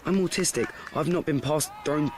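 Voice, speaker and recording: A man's voice: a little high, moderate pace, a little hiss or hum, natural voice. An actor playing an anxious, awkward schoolboy who narrates his woes in a rambling radio play monologue, correcting and repeating himself. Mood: flustered. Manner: rambling, repetitive, self-correcting.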